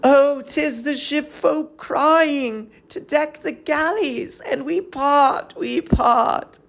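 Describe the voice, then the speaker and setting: A woman performs a desperate captive woman of Troy in a classical tragedy. The voice is fairly high and trembling, declaiming verse with fervent, anguished pleading. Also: extremely slow, creaky, exaggerated voice. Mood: fearful dread.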